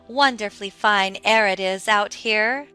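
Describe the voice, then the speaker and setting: A woman's voice: medium pitch, unhurried, pushed and bright, clean tone, soft background music. A woman voicing a smug, condescending talking flower in a dramatized children's audiobook, airy and patronizing. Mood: airy, knowing.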